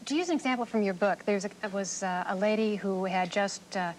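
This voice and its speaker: A woman's voice: medium pitch, a little fast, a little mellow. A woman hosting a television programme, interviewing and presenting to viewers, on an older TV recording. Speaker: TV host and interviewer. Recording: faint noise, older TV recording.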